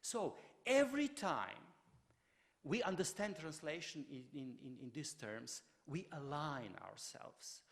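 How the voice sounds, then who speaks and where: A fairly high-voiced man lectures in a lively, rising and falling tone. He stumbles through many fillers and restarts. His voice carries a long reverb from a large lecture hall. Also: unhurried, vocal fry. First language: Croatian. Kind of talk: public lecture.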